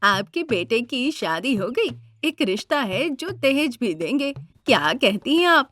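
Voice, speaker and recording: A woman's voice: high voice, very animated, a little slow, fairly bright tone, exaggerated voice. A woman voicing an ingratiating male village priest and matchmaker in a cartoon story.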